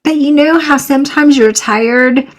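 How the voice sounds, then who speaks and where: A middle-aged woman, a professor and researcher, co-hosting a podcast in casual chat, her voice a little hoarse, upbeat and quick to laugh. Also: sits high.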